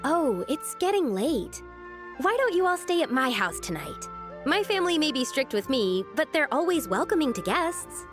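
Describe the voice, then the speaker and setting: A woman playing an earnest, polite young woman with a hesitant, expressive manner in an anime game. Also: high voice, moderate pace, over music. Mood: grateful.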